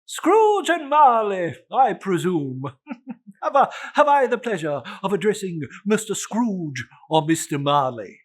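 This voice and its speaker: A man voicing a portly, jovial gentleman collecting for charity, hesitant and effusively polite, in a storybook reading. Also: high voice, very animated, unhurried, voice pushed up, full character voice. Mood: jovial, eager.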